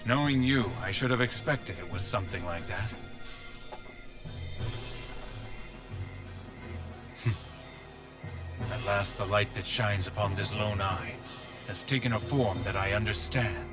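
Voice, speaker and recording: A stern, loyal warrior of a video game epic, acted by a man. His voice sits on the low side and he declaims solemnly, grave and resolute, while loud music plays behind him. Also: a little slow, lightly acted. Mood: solemn resolve. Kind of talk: dramatic video game voice acting.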